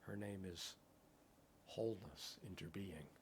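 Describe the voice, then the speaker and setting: A man's voice: low voice, unhurried, a little hiss or hum. A man teaching in a contemplative dharma talk. He speaks in poetic phrases and often checks in with "right?". English is his first language. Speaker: Buddhist meditation teacher.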